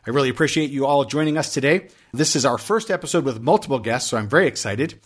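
A man's voice: mid-range voice, lively intonation, fast. Chatty male podcast host. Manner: chatty, hesitant, many restarts. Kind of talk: podcast interview.

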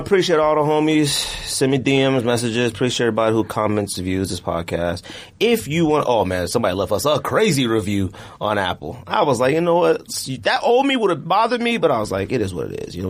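A man's voice: medium pitch, very animated, brisk. A man hosting a casual podcast in a studio, talking in loose slang and repeating himself for emphasis. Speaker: podcast host. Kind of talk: casual sneaker podcast banter.

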